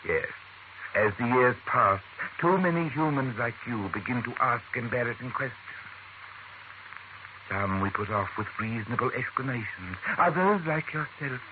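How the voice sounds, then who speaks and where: An actor playing a smug, menacing leader of secret alien invaders in an old radio drama, speaking in a measured, condescending way. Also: male voice, medium pitch, animated, lightly acted. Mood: smug menace. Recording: noisy, phone-like old radio recording.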